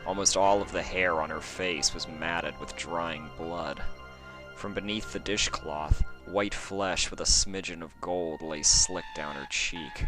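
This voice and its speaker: A man reading a horror story aloud as an amateur narrator, in a measured, suspenseful storytelling style. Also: sits low, huge pitch swings, poor audio. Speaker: amateur fan fiction narrator and channel host. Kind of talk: dramatic horror audiobook narration, then casual sign-off. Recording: dry close recording with faint music underneath.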